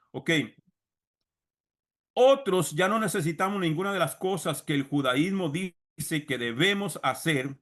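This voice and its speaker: A man with a Costa Rican Spanish accent teaching a Bible seminar like a sermon, didactic, repeating key phrases and addressing listeners directly. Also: fairly high pitch. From Costa Rica. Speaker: religious teacher and congregation leader.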